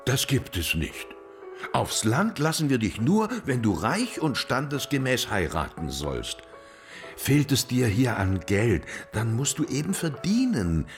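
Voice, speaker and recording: An actor playing an indulgent, worldly antiques dealer in a radio play, effusive, drawling and theatrical. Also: male voice, medium pitch, sing-song, measured pace, faint music behind. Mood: persuasive. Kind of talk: German radio play acting.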